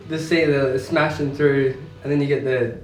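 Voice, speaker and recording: A man's voice: mid-range voice, steady tone, moderate pace. Young guy rambling about a movie, trails off a lot, sounds echoey and far from the mic. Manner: rambling, trails off mid-thought. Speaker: film review co-host. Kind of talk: casual chat. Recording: roomy, distant mic, faint music.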